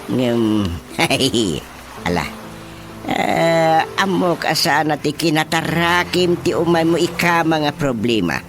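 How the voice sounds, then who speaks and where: An actor with an Ilocano accent playing a teasing, drawling village official in a radio comedy drama. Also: male voice, a little high, lively intonation, takes it slowly, over music.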